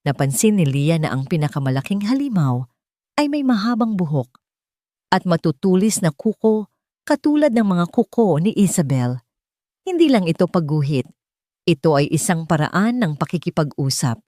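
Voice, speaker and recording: Female Filipino audiobook narrator, low and mellow, scripted dramatic storytelling, dry sound. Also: no echo.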